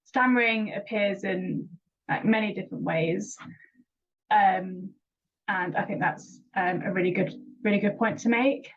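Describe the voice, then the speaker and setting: A young woman hosting an interview chat and speaking encouragingly to her guest. She stammers, repeats words and fills pauses with "um." Her pitch sits in the middle range, and the recording sounds dull and lo-fi. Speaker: interviewer and regular vlogger.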